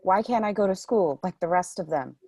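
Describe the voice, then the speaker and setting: A woman's voice: fairly low pitch, animated, brisk, darkish tone, no room sound. A woman playing a sheltered, guarded, defiant teenage girl in a script table read. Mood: defiant, wistful.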